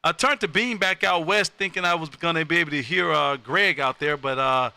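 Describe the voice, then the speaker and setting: An American man hosting amateur radio contacts on a live stream, loud, exuberant and folksy, often repeating his phrases. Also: a little high, brisk, creaky, clear, resonant tone.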